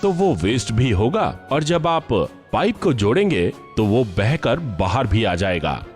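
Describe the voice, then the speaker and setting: A man delivering scripted commercial and narration voice-over in a polished, deliberate, dramatic way. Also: mid-range voice, big pitch swings, a little mellow. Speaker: voice-over artist. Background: music bed.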